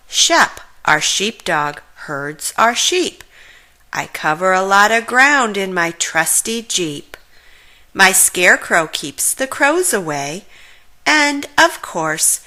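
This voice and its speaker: A woman plays a hardworking farmer bear from a children's storybook, proud and folksy. He explains things in friendly rhymes, unhurried and with big swings in pitch. The tone is bright and forward. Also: full character voice.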